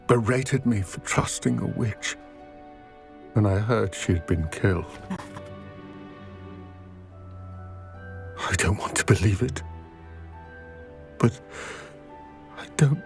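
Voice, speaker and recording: A man voicing a grave, weary goblin in a video game, speaking earnestly in measured, formal phrases with regret. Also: lowish voice, creaky, soft background music. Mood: regretful. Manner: measured, formal, solemn storytelling. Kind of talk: video game cutscene acting.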